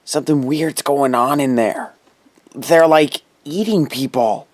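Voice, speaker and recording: A man playing a panicked teenage boy shouting a warning from a distance. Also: medium pitch, slow, dry recording. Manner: frantic shouting. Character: panicked teen boy fleeing the dance.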